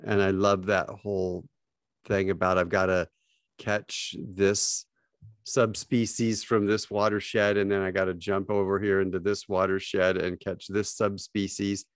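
A middle-aged man talks slowly and thoughtfully, pausing often as he recalls old days on the water. His voice sits a little low, and the sound is dry and close, picked up by earbuds on a video call from a small home office. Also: flat delivery, no room sound. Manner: slow, reflective, pauses often. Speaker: podcast guest, fly fishing writer. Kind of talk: casual storytelling. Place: home office with bookshelves, indoors. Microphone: wired earbuds, video call.

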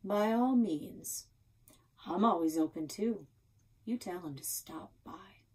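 An American woman voicing a gruff, suspicious small-town sheriff in a storybook read-aloud, folksy and pointed. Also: fairly low pitch, takes it slowly, a little mellow. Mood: measured.

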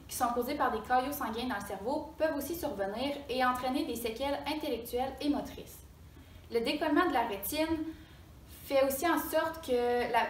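A young woman student acting as a young doctor explains a diagnosis to a patient, pausing on fillers and correcting herself. Her voice sits a bit high. The sound is roomy and distant, with a faint hiss. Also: natural voice. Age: young adult. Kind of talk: amateur school science skit. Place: mock exam room. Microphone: far camera. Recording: echoey room from far off.

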